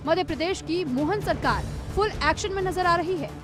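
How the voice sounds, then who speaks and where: A woman's voice: very high voice, normal speed. A woman presenting the news in a scripted narration style. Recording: music bed under voice.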